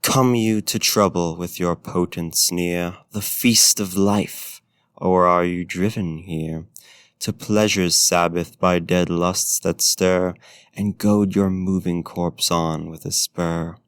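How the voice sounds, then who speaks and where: A man's voice: steady tone, takes it slowly, dry recording, clean studio sound. A man playing a courtly, bookish, flirtatious vampire in an intimate ASMR roleplay, speaking with formal, genteel phrasing. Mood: solemn, poetic. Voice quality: smooth, deep. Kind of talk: ASMR roleplay, intimate talk and poetry reading.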